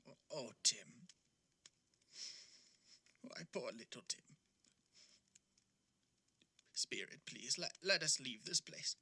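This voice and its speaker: An actor playing a frightened, repentant old miser in an audio play, halting and imploring as he repeats himself. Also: mid-range voice, lively intonation, bright, forceful tone, breathy edge, heavily acted. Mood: anguished, subdued. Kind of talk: theatrical radio-play acting.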